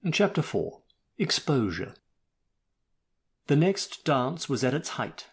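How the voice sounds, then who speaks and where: A man's voice: medium pitch, sing-song, slow pace, gravelly, husky. A man narrating a story expressively, with a theatrical, mock-melodramatic delivery.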